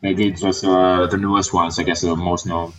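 A very low-voiced man whose first language is Italian talks casually as a guest fan. He speaks in halting English, pausing often to search for words. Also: flat delivery, a bit bright, low-quality recording. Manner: hesitant, many "uh" fillers. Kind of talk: casual podcast chat.

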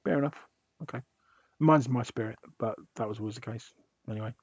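A man hosts a casual music podcast, his voice husky and hoarse from a sore throat. He rambles and restarts his sentences. The sound is close, dry and a little dull. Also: medium pitch, brisk, no room sound. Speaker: podcast host.

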